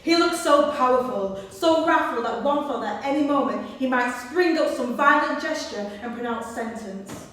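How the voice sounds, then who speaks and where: A woman plays an accused man telling his story in an earnest, descriptive way. The high voice comes from far off in an echoey hall with a faint hiss. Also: moderate pace, few pauses, fairly bright tone.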